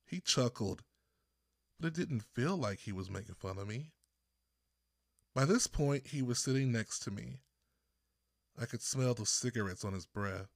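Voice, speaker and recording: A man from Texas reading his own first-person story as an audiobook narrator, with deliberate phrasing and pauses. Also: medium pitch, no echo, studio quality. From Texas, United States.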